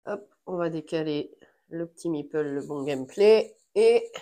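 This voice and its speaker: A woman with a slightly stuffy voice talks through a board game unboxing. She speaks slowly and sits a little low in pitch. She pauses often and fills the gaps with small fillers. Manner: slow, relaxed, thinking aloud with fillers. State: has a cold. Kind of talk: casual unboxing chat.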